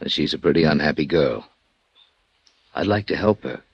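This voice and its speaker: A decent, regretful lawyer in an old radio drama. His very low voice is quiet, hesitant and resigned, on a dull vintage recording. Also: expressive, normal speed, breathy edge, no room sound, heavily acted. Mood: remorseful, gentle. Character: subdued, remorseful male lawyer, quietly decent. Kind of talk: 1950s American radio drama acting.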